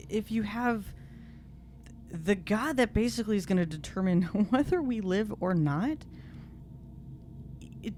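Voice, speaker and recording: Woman, tabletop player, casual improvised discussion, average pitch, clear ambient noise. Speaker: player in a tabletop role-playing podcast.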